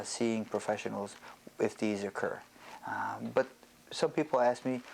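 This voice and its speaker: A man speaking as a psychiatrist presenting an educational health talk, explaining in a measured way with hesitant pauses. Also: mid-range voice, creaky, a little mellow, poor audio.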